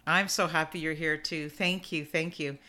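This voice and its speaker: A woman's voice: deep voice, steady tone, normal speed, bright, forceful tone. A woman hosting her own podcast, opening with an emphatic, inspirational intro and then interviewing a guest. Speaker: podcast host.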